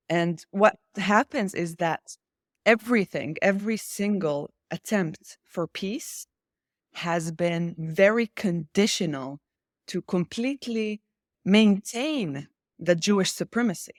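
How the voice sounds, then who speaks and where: A woman's voice: fairly low pitch, no room sound, studio quality. A woman from Israel speaking as an interview guest in a political discussion, challenging and insistent, repeating key phrases for emphasis.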